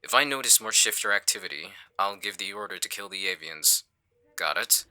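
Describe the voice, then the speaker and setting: A man playing a calculating, menacing villain in an audio roleplay, with a smug, condescending, deliberate delivery. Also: sits low, animated, bright, forceful tone, clear, resonant tone, exaggerated voice. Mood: calm menace. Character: smooth-talking male villain, calculating, menacing leader. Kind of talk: audio roleplay acting on a phone call.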